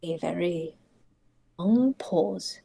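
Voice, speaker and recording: A woman narrating roleplay prose as a player, reading dramatically in halting phrases. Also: lowish voice, creaky, warm tone, slightly rough.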